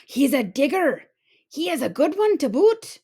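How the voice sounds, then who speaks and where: A woman's voice: sits high, very animated, a little slow, dark tone, voice pushed up, heavily acted. A woman voicing a blustery, commanding male pirate captain from a storybook, with hearty, exclamatory pirate talk. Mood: impressed.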